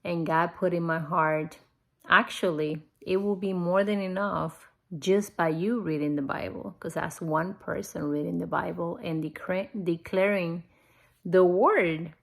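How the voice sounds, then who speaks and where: A woman's voice: on the low side, steady tone, unhurried, creaky. A middle-aged woman with a non-native English accent, talking casually and earnestly to viewers about her faith in a personal vlog. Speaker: host of a Bible-reading vlog.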